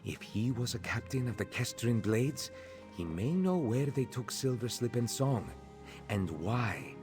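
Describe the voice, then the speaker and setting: A man voicing a gentle cat-folk former captive in a video game, speaking in a measured, formal way and referring to himself in the third person. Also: mid-range voice, expressive, music in the background, close to an everyday voice. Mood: measured, informative.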